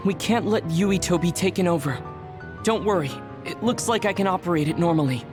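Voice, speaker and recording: A man playing a calm, analytical young tactician in a video game dub. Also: high voice, brisk, natural voice. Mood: composed. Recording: prominent music behind the voice.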